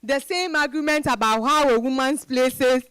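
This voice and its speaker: A woman's voice: high voice, moderate pace, a bit bright, clear, resonant tone, no echo, clean studio sound. An actress with a Ghanaian accent playing a proud, independent professional woman in a dramatized reading, emphatic and exclaiming. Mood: exasperated, defiant.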